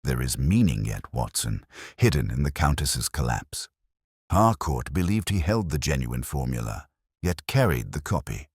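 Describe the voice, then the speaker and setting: A man's voice: extremely low pitch, big pitch swings, normal speed, breathy edge, no echo, clean studio sound, full character voice. A man voicing a grave, incisive detective in an audiobook, terse, cryptic and commanding. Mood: brooding, musing. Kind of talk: audiobook character acting.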